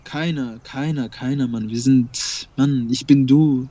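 A man's voice: medium pitch, fairly even intonation, unhurried, dark tone, dry recording, muffled recording. Emphatic man, Kurdish native speaker. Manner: emphatic, repeats words, swears casually. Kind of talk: casual spiritual conversation.

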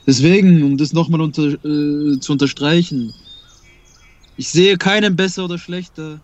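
A man's voice: a little high, normal speed, dull audio. A man whose first language is Kurdish, talking emphatically and repeating himself in a casual spiritual conversation.

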